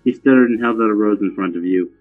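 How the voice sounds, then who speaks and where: A man's voice: fairly low pitch, quick pace, soft background music. A man narrating fan fiction aloud in a casual style, with joking asides. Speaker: fan fiction reader and commentator. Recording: thin, phone-like lo-fi audio.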